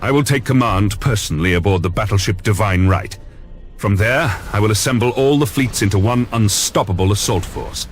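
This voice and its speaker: Man acting deep-voiced, commanding space admiral. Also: a little fast, lightly acted. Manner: formal, authoritative, solemn oratory. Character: commanding, grave male Lord Admiral of a space navy. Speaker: fleet commander. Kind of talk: scripted dramatic game cutscene acting. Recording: music behind the voice.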